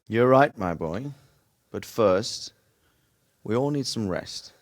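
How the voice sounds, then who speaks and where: A man playing a middle-aged medieval Bohemian lord in a video game, formal, authoritative and fatherly, speaking in measured phrases. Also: on the low side. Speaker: nobleman and military leader. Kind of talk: video game dramatic dialogue.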